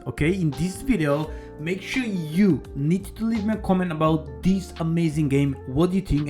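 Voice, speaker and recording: A man hosting a casual crypto video, talking eagerly in a slightly raised voice. He rambles in non-native English phrasing and keeps dropping "okay" and "all right." Faint guitar music plays softly behind him. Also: on the high side. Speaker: crypto YouTuber. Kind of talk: casual screen-share walkthrough.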